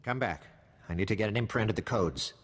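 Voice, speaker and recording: A weary male intelligence officer in a video game. His low, husky voice briefs with wry, matter-of-fact secrecy, in a light cavernous reverb. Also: lively intonation, fairly quick. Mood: grave, urgent.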